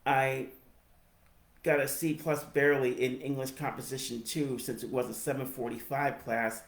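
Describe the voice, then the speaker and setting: An American man in his forties with a speech impediment, giving a personal reflective narration in halting, deliberate phrases. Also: medium pitch, little pitch movement, gravelly. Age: 40s. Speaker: higher education professional telling own story. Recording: roomy, echoey sound.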